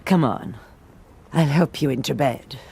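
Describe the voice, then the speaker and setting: An actress playing a composed nun in charge, calm but firm, giving orders in a radio drama. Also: female voice, extremely low pitch, unhurried, creaky, slight background noise, heavily acted. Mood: gentle.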